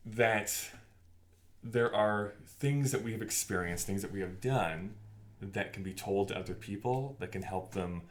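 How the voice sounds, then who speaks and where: A man's voice: lowish voice, measured pace. A man in a casual conversational discussion, speaking in an emphatic, opinionated and blunt way as a podcast co-host. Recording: clearly noisy background ambience.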